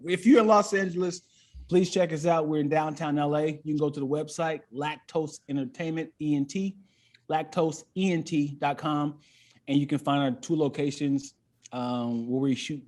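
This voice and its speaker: A man's voice: a little high, darkish tone. A man chatting casually as a comedian guest on a podcast, playful and joking, using plenty of slang.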